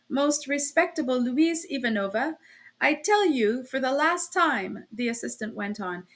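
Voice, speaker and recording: A woman voicing a loud-mouthed, blustering male police officer who scolds and shouts. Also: high-pitched.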